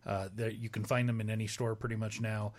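A man's voice: lowish voice, little pitch movement, fairly quick, darkish tone. A man appearing as a guest in a radio studio, chatting casually and asking questions back.

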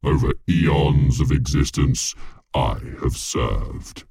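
A man voicing an ancient, enslaved winged archangel who speaks slowly and gravely. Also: warm tone, no room sound, studio quality, full character voice. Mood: solemn. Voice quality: deep gravelly rumble. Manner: slow, grave and solemn.

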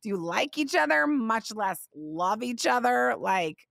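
A woman in her forties hosting a casual faith podcast, talking in a chatty, animated way, her voice a little scratchy from a recent illness. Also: on the high side, a little slow, gravelly, dry recording, studio quality. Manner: chatty, warm-hearted, animated storytelling. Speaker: podcast host.

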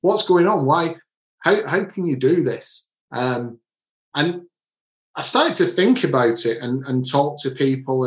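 A man's voice: medium pitch, echoey room. A man from the Manchester area with a Manchester accent, an author and coach answering interview questions earnestly, often restarting his phrases. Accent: Manchester English. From Manchester area, England.

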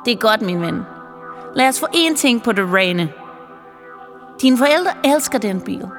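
A woman voicing a strange, pushy woman in a pink wig who coaxes and sells in a high, wheedling voice. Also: lively intonation, in a large hall, faint music behind, close to an everyday voice. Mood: coaxing. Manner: coaxing, salesy, wheedling.

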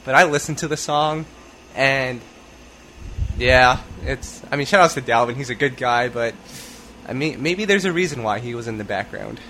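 A man's voice: medium pitch, normal speed, sharp tone, a little hiss or hum. An American man hosting a podcast in casual chat, easygoing and teasing. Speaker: podcast host.

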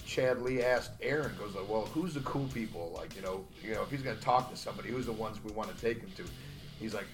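A man co-hosting a podcast, telling stories in a rambling, hesitant way with many restarts. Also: on the low side. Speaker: podcast co-host. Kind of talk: casual podcast chat.

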